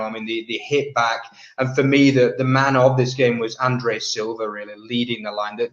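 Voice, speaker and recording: Podcast co-host guy, keen on the match, echoey room, bit muffled. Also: mid-range voice. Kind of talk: casual match commentary. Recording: roomy, muffled home audio.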